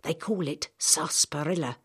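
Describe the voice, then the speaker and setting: A woman reader plays an old storybook apothecary, a gruff and knowing man. The speech is measured and a little lecturing, low and husky. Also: fairly bright tone, exaggerated voice. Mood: knowing, proud.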